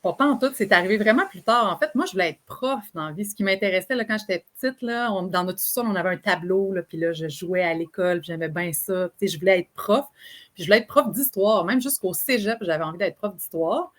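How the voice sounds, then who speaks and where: A woman from Beauce with a Quebec French accent, a sexologist guest telling her story in a lively, animated way with easy laughter. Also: mid-range voice, fast talker. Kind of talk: casual interview storytelling.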